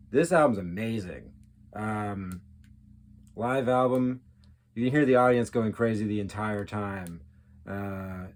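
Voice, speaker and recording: A low-pitched man talks off the cuff like a collector hosting a homemade video. His delivery is casual and geekily enthusiastic, rambling from one remark to the next. There is audible background noise behind him. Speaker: record collector, video host. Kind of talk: casual show-and-tell, off the cuff.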